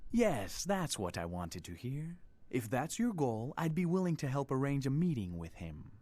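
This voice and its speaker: A coolly formal underworld dealer, with a lively, moving intonation at a middle pitch. He is a video game character who is polite, precise and guarded. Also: normal speed. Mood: grave, formal.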